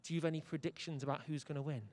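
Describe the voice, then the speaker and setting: Hyped fast-talking young male presenter. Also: a little high, flat delivery, a little mellow. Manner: upbeat, hyped, jokey with guests. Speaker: roving fan zone presenter. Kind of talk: energetic outdoor broadcast chat. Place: outdoor fan zone in a city square.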